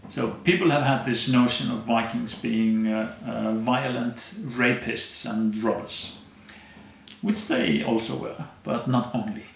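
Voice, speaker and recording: A man in his thirties from Iceland, a native Icelandic speaker, telling stories as an interview guest with many pauses and fillers. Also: mid-range voice, creaky, echoey room. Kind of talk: conversational storytelling. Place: dim indoor room, seated in armchair.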